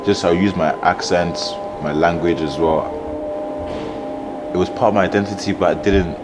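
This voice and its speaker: A man raised in Ireland talking about his identity in a casual, halting interview, full of "like". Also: sits low, loud music behind the voice. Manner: relaxed, halting, many "like".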